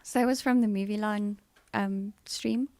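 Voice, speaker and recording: A woman streamer with a soothing voice and a gravelly, creaky edge, talking casually to her chat. The sound is dry and close, with no room echo. She is chatty and playful, then firm when she shuts a topic down. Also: medium pitch, fairly even intonation, a little slow, darkish tone. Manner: chatty and playful, breaking into firm repeated no's. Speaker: live streamer. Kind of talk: casual live-stream chat, answering viewers' comments.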